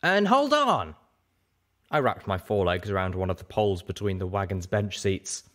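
A man's voice: low voice, little pitch movement, brisk. A man narrating a scripted story as a dramatic audiobook reader.

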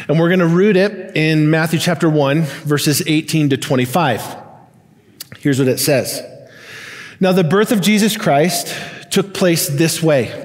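American pastor in a reverberant hall. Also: male voice, medium pitch, darkish tone. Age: middle-aged. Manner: informal, joking asides, stop-and-start phrasing. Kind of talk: conversational sermon and announcements to a congregation. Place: large church auditorium stage, indoors. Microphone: headset microphone. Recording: long hall reverb from church auditorium.

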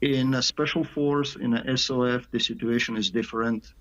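A man from Canada answering interview questions over a video call, halting, with many pauses and filler sounds. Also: medium pitch, fairly even intonation, fairly bright tone. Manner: halting, many "uh" pauses, slight non-native grammar. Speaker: sniper interviewed as guest. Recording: dull lo-fi call audio.

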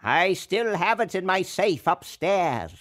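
Cranky old mad scientist, high-pitched, slow. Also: male voice, big pitch swings, fairly bright tone, full character voice. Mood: regretful. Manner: melodramatic, grumbling. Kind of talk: cartoon game voice acting.

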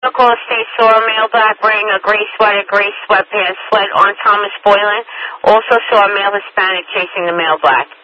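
A woman's voice: medium pitch, fairly even intonation, brisk. Woman dispatcher on noisy police radio. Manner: procedural, repeats details back. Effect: police radio transmission. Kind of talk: dispatch radio traffic, procedural instructions. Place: radio transmission picked up on a scanner. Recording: muffled, noisy police scanner radio with clicks.

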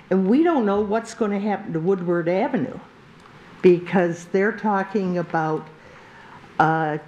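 Older woman, slow halting public comment. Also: lowish voice, darkish tone, lo-fi sound, slight background noise. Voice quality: big, carrying voice. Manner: slow, halting, with many pauses and restarts. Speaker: resident giving public comment. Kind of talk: public comment at a zoning meeting. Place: council chamber at a podium. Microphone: podium microphone.

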